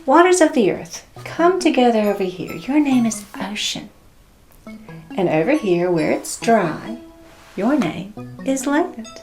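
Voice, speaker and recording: A woman voicing God as a grand storybook creator, giving slow, declarative commands. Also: fairly high pitch, animated, darkish tone, soft background music. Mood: grand, commanding.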